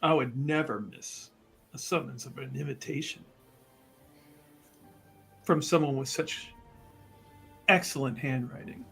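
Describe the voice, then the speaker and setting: A man playing a flattering, theatrical gentleman scholar in a tabletop game, florid and flirtatious in his courtesy. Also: mid-range voice, unhurried, long pauses, breathy edge, faint music behind. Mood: courtly, flattering.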